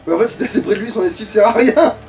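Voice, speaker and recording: Fast, high-voiced man swearing through a game. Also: darkish tone, far from the mic. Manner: foul-mouthed, grumbling. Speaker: let's player. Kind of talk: casual gaming commentary with a co-player.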